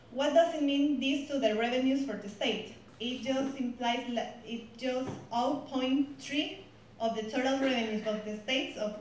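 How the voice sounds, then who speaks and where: A woman from Guatemala, presenting on a panel in halting English with many restarts and fillers. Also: a little high, distant mic, a little hiss or hum. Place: stage at a seminar hall. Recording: very echoey hall, recorded from afar.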